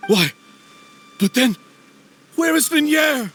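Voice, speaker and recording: Game casting: obsessive, desperate middle-aged lover, high voice, very expressive swings. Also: male voice, soft background music, heavily acted. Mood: shocked. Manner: emotional, halting, pleading. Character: obsessive, desperate man pining for lost love. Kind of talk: dramatic video game voice acting.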